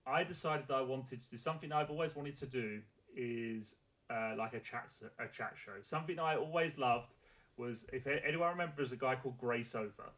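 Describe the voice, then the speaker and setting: A man's voice: mid-range voice, a little fast, fairly bright tone. A man hosting a casual live-streamed chat show, asking rambling questions full of fillers and restarts. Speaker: talk show host.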